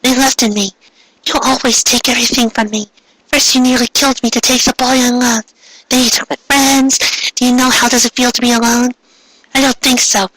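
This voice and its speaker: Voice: mid-range voice, level tone, fairly quick, pushed and bright, slight background noise, voice pushed up, close to an everyday voice, rough recording. A man voicing a vengeful teenage girl villain in a melodramatic, bitter rant. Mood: bitter, accusing.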